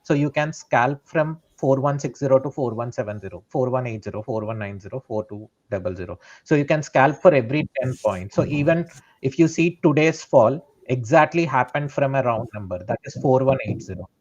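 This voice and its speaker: A man's voice: mid-range voice, animated, a little fast, darkish tone, dull audio. A man, a trader explaining his strategy step by step and often checking in with "right?". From India. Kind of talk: live trading session explanation.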